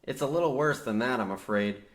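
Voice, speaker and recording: Radio play parody: man acting younger trapped coal miner, earnest and burdened by guilt, melodramatic old-radio delivery, medium pitch. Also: fairly quick, lightly acted. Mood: confessional, resigned. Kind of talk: old-time radio horror comedy acting.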